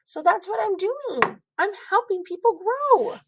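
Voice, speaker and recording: A high-voiced little boy from a children's storybook, acted out by a woman. He is blunt and defensive, protesting in an indignant whine with no filter on what he says. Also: expressive, a little slow, exaggerated voice. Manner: whiny, protesting.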